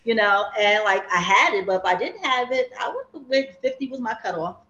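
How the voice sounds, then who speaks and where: A woman's voice: on the high side, lively intonation, a little fast, bright, forceful tone, muffled recording. Chatty woman in her fifties, roomy sound. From Savannah, Georgia, US. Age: 50s. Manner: chatty, rambling, laughs often. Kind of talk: casual group chat.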